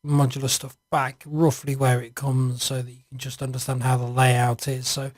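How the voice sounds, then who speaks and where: Man giving an informal software walkthrough, roomy sound. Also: mid-range voice, little pitch movement, normal speed, studio quality. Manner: hesitant, improvised, thinking aloud. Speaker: software reviewer.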